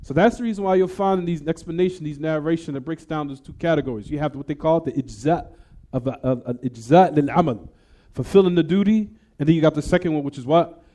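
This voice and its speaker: A man teaches a religious lesson to a live class with a somewhat high but mellow, darker voice. He talks casually, drops in Arabic terms, and keeps quizzing listeners with "what?" and "everyone?" to check they follow. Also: fast talker. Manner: casual, conversational English mixed with Arabic terms. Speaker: Islamic teacher.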